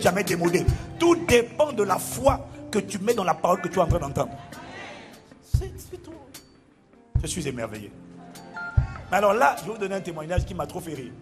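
High-voiced preacher, animated, over music. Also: male voice. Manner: animated, exclaiming, bursts into shouting and laughter. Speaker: pastor. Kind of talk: sermon reading out testimonies. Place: large church auditorium with a crowd. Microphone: handheld mic.

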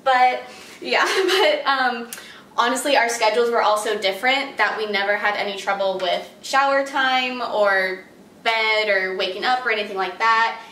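A woman's voice: on the high side, moderate pace, sharp tone, a little hiss or hum. A young woman answering interview questions on the phone in her kitchen, upbeat and chatty, with many fillers and little laughs. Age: young adult. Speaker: job applicant in a phone interview. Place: home kitchen, indoors. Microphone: far camera on a tripod while she talks on a phone. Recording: roomy kitchen sound from a distant camera.

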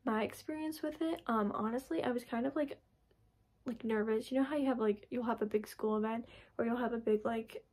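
Raspy-voiced young woman vlogging. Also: a little high, brisk, darkish tone. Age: young adult. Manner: rambling, lots of "like" and restarts. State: raspy from recent illness. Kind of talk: casual personal vlog. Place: bedroom, indoors. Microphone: camera in front at close range.

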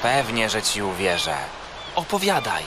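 An actor playing a kind, easygoing older brother, gentle and curious, in a children's audio drama. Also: male voice, on the low side, big pitch swings, a little slow, noisy background. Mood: caring, gentle.

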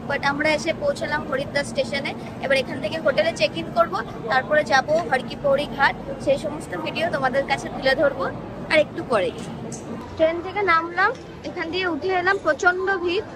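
A woman's voice: high voice, fairly quick, over loud music, over a noisy crowd, rough recording. A woman in her thirties, a travel vlogger, giving a chatty running commentary on her trip into a phone held at arm's length. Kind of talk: casual travel vlog narration. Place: train compartment and busy station outdoors.

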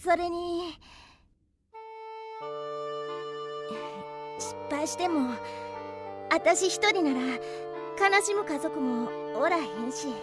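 A woman playing a plucky, warm-hearted girl with a Kansai accent in an anime audio drama. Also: very high voice, slow, over loud music. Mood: resigned, resolute.

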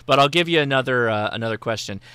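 A man's voice: mid-range voice, quick pace, sharp tone, clear, resonant tone, no echo. An American man in his thirties hosting a livestream casually, reading out viewer questions on a close mic. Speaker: livestream host. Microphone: close mic on boom arm, with headphones.

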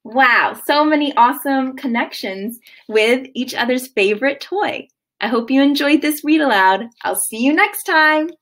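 Female teacher, thirties, highish pitch, very animated sing-song read-aloud for young kids, recorded at home. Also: unhurried. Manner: expressive, sing-song storytelling delivery. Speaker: kindergarten teacher. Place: living room at home, indoors.

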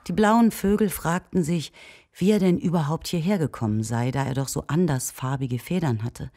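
A woman with a low, husky voice narrating a scripted children's audio play as storyteller. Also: moderate pace, dark tone.

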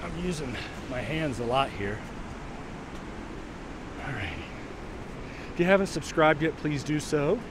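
A man's voice: a little high, animated, measured pace, long pauses. A man guiding viewers on a walk up a snowy trail, chatting casually between breaths as he climbs. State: winded from walking uphill. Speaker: vlogger and virtual walk guide. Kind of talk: casual narration while hiking. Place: outdoors on a snowy trail by a waterfall. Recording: outdoor recording with loud wind and water noise.

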